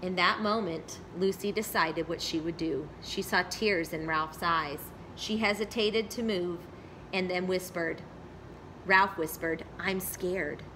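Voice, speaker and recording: A woman storyteller reading a children's story aloud in an expressive narrating style. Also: on the low side, measured pace, a little hiss or hum, poor audio.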